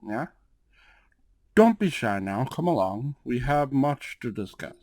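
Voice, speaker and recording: A man's voice: medium pitch, no echo. A man playing a casual cat-person boss in an ASMR roleplay, often tagging his sentences with a questioning "yeah". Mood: courteous, inviting. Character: laid-back male cat-person gang boss, grumbly, courteous.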